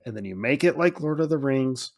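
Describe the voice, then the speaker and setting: A man co-hosting a podcast, discussing films casually in an animated, emphatic way. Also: medium pitch, brisk. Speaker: podcast co-host.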